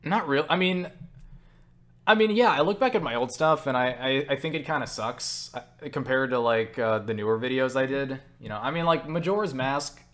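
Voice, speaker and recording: A young man talks off the cuff in a casual question-and-answer style, sounding tired. He rambles and jokes at his own expense, with casual swearing. His voice sits at an ordinary middle pitch. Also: moderate pace, fairly bright tone. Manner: self-deprecating, joking, swears casually. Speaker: video creator answering viewer questions. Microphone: webcam setup.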